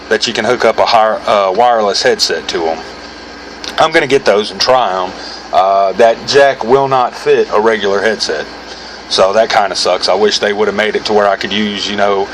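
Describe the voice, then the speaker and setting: A man's voice: fairly low pitch, a bit bright. A middle-aged American man giving a casual, unscripted product review in an informal, rambling, self-correcting way. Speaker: gear reviewer hosting his own video. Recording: clearly noisy background hum.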